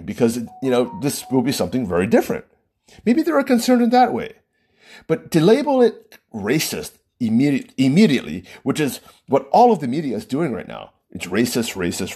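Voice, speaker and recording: A man talking alone in casual, opinionated commentary, often restarting sentences and checking in with "right?" and "you know". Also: slightly rough. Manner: lively pitch swings, frequent "right?" and "you know", self-interrupting restarts.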